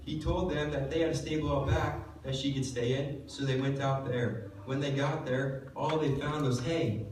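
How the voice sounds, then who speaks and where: A man's voice: medium pitch, steady tone, normal speed, darkish tone, close to an everyday voice. A man playing a confident, lecturing professor in a church puppet show. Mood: explanatory. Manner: assured, lecturing. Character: confident, pedantic professor puppet fond of food. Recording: very echoey hall, distant mic, faint noise.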